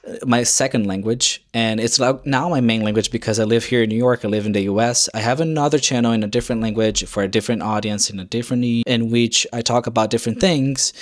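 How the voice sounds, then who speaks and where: An earnest young man talks straight to his viewers in a casual vlog, speaking English, which is not his first language, at an average pitch. He sounds encouraging and often hunts for a word and starts a phrase over before going on. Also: fairly even intonation, fast. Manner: earnest and encouraging, searches for words and restarts. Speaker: YouTuber. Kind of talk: casual advice vlog to camera.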